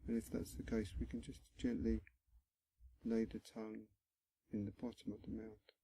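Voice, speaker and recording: A man guiding a body scan meditation in a gentle, instructional manner, pausing often between short phrases, with a low, husky, creaky voice. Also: level tone, no room sound, low-quality recording. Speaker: meditation guide.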